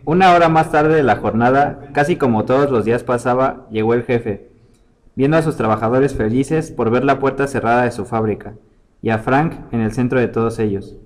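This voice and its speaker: Male storyteller, medium pitch, reading scripted literary narration. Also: moderate pace.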